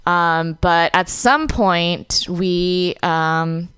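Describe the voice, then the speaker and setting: A woman's voice: lowish voice, extremely slow, a bit bright, clear, resonant tone, dry recording. A woman in her thirties from Vietnam, a comedian chatting casually on a podcast, laughing often and filling with "like" and "um". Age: 30s. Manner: chatty, laughing, heavy filler words. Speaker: comedian guest.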